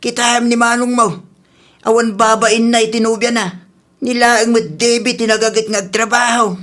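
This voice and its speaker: A man playing a worried, thrifty old father in a radio drama, questioning and gently reproving with an Ilocano accent. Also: very high-pitched, level tone, normal speed. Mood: worried reproach. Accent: Filipino (Ilocano). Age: older.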